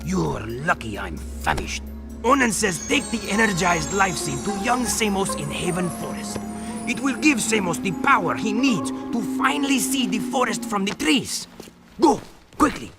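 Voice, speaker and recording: A man's voice: sits high, sing-song, moderate pace, loud music behind the voice. A man voicing a grumpy, mouthy talking bird interpreter in a cartoon video game. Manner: sassy, squawking, irritable.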